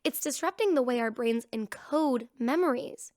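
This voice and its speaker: A woman's voice: high-pitched, animated, normal speed, a little mellow, no echo, clean studio sound. An actress playing an eager female scientist explaining things in a sci-fi radio drama. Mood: excited. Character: excited female scientist, a doctor aboard a spaceship.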